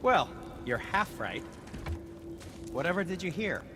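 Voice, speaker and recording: A man's voice: on the high side, sing-song, measured pace, over music. A man playing a fussy, evasive, wistful dwarf craftsman in a video game, prim and hesitant in manner. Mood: wary, nostalgic. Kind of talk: video game character acting.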